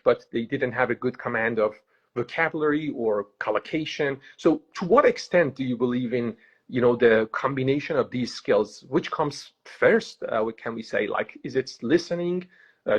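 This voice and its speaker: Husky man hosting, full of hesitations. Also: medium pitch. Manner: hesitant, many filler sounds and restarts. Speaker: host. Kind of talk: interview questions.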